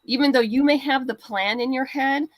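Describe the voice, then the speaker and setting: A woman's voice: high voice, moderate pace, no room sound. Woman guest chatting casually, close dry sound. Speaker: guest writer and comic creator. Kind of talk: casual interview chat about crowdfunding.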